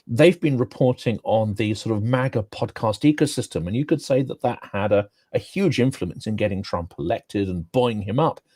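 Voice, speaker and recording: A man's voice: lowish voice, moderate pace, hardly any pauses, a little mellow, no room sound. Dry-sounding man hosting. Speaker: host. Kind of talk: casual political talk.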